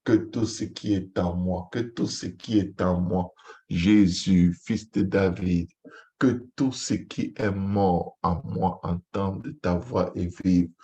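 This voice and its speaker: A man with a dark, mellow voice leads a fervent Pentecostal prayer. He speaks slowly on a fairly flat tone, pleading in repeated invocations. The recording is a little dull and lo-fi. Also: sits low. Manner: repetitive, pleading, chant-like invocation. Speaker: pastor leading prayer.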